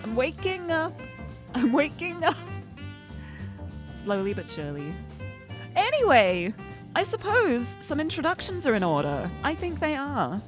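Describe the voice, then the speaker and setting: A young woman streaming a story game, chatting casually in a bubbly, giggly way, her voice a little croaky from just waking up. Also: high-pitched, wildly swooping pitch, measured pace, pauses often, over music. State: slightly croaky, just woken up.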